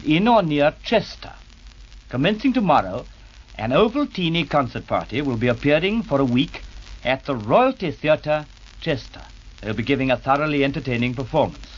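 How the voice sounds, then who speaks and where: A man's voice: a little high, big pitch swings, moderate pace. A man giving a formal, avuncular scripted address to young radio club members. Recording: muffled old 1930s radio recording.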